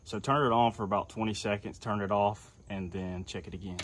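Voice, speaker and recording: Young man, low voice, casual step-by-step how-to, outdoor yard, faint insect noise. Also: a little fast. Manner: relaxed, step-by-step explaining while working. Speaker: landscaper.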